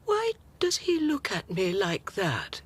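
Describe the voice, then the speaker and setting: An actress playing a frail, anxious old wife in a radio drama, calling out plaintively. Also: female voice, medium pitch, wildly swooping pitch, slow, breathy edge, full character voice. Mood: anxious longing. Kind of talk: radio drama, interior cries.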